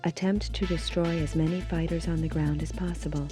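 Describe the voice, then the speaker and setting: An actress playing a stern police commander, delivering a formal, authoritative scripted mission briefing in a video game. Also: female voice, low voice, fairly even intonation, fairly quick, dark tone, natural voice. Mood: stern, businesslike. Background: music.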